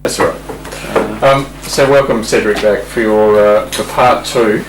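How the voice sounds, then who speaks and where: An Australian man hosting an informal interview, feeling his way through questions with halting phrasing. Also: lowish voice. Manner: hesitant, halting. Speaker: interviewer, host. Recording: roomy, distant mic, faint hum.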